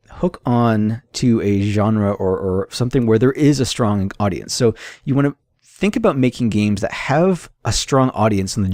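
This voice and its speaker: Man talking casually, mellow dry voice. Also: fairly low pitch, no echo. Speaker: solo indie game developer hosting an audio log. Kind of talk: casual unscripted monologue, sharing advice.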